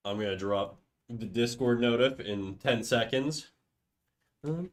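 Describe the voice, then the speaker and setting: A man's voice: medium pitch, moderate pace. A young man with a cold, sounding stuffy and nasal, chatting casually and swearing on a livestream. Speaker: streamer. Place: home room.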